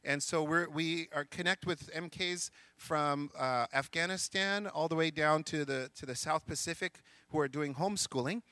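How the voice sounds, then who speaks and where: A man's voice: fairly high pitch, normal speed, few pauses, pushed and bright, dry recording. A middle-aged man, a missionary school counselor, giving an earnest, joking church missions talk. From grew up in Sri Lanka, India and Egypt. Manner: joking, earnest speaker reading scripture aloud.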